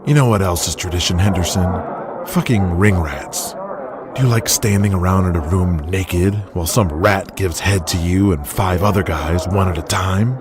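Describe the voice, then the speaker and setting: A man's voice: sits low, moderate pace, music in the background, natural voice. A man playing a domineering, vulgar, grandiose wrestling company boss in an audio drama, speaking in a commanding, scornful, emphatic way. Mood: scornful, crude.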